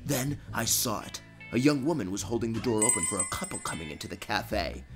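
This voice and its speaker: A man's voice: lowish voice, big pitch swings, fairly quick. A man giving dramatic, self-serious first-person narration of a scripted comic story in a theatrical manner. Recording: music under the narration.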